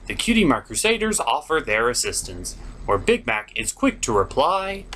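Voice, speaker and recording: Animated, theatrical man reviewing a cartoon. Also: medium pitch, moderate pace, soft background music. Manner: theatrical, sarcastic. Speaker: host of a cartoon episode review. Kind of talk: jokey scripted commentary recap.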